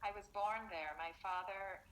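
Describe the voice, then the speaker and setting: A woman's voice: medium pitch, fairly even intonation, moderate pace, fairly bright tone. A mature woman from Barbados with a Barbadian accent, giving reflective, conversational answers as an interviewed artist over a remote call. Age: 50s or older. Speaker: interviewed visual artist and educator. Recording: noisy remote call audio.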